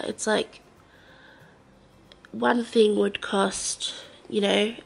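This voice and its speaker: Young Aussie woman, congested, slow rambling vlog talk, gravelly. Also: medium pitch, rough recording. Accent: Australian. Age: young adult. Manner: rambling, hesitant, many fillers. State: congested, blocked nose. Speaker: vlogger. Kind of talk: casual vlog chat to camera. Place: living room of a house, indoors. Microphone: handheld camera at arm's length.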